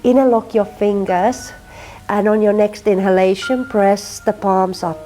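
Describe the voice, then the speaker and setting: A woman from Finland with a Finnish accent, teaching a gentle yin yoga class and softly cueing breath and movement. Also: mid-range voice, steady tone, unhurried, faint music behind. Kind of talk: guided yin yoga instruction.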